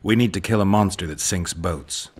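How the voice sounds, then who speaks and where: An actor playing a gruff, dry-witted monster hunter in a video game, laconic and deadpan, with a low gravelly voice. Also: male voice, moderate pace. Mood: dry, wry.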